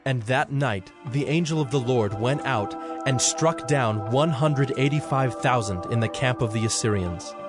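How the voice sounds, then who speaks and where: A man's voice: mid-range voice, normal speed, hardly any pauses, music in the background. A man narrating a dramatized scripture reading in a formal, solemn manner.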